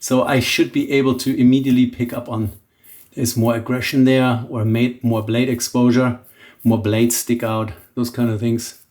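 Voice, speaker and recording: A man's voice: mid-range voice, moderate pace. German-accented man chatting through a shave. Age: middle-aged. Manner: relaxed, thinking aloud with frequent restarts. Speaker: shaving vlogger. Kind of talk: casual product demo chat.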